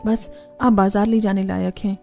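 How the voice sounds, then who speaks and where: A woman as a soft, mellow young village housewife. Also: mid-range voice, level tone, moderate pace, gravelly, soft background music, lightly acted. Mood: determined, weary. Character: hardworking, patient village daughter-in-law and mother. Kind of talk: cartoon story dialogue.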